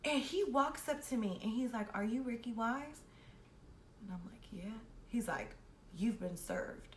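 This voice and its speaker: A woman's voice: a little high, lively intonation, measured pace. A young woman telling a personal story casually and animatedly, with brash, slangy, heavily profane talk, acting out both sides of exchanges. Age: young adult. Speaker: host of a storytime series. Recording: roomy echo with faint background noise.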